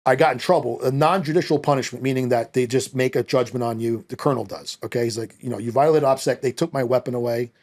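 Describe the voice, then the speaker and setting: Fast-talking man telling blunt stories. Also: mid-range voice. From United States, born in Italy. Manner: animated, blunt, profane, acts out quoted dialogue. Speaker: podcast guest, former Marine. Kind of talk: casual personal storytelling. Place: small podcast studio, indoors. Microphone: close podcast microphone on a boom arm.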